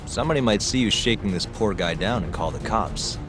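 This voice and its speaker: An actor playing a cool, good-natured investigator hero in a video game drama, speaking casually and with self-assurance. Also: male voice, lowish voice, lively intonation, a little fast, close to an everyday voice. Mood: cool challenge. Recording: music behind the dialogue.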